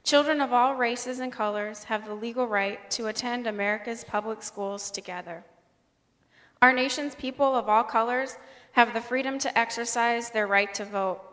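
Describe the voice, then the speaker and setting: A woman reading a scripted formal speech in an earnest, measured way. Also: a little high, fairly bright tone. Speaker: speaker at a convocation. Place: large indoor hall. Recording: reverberant hall.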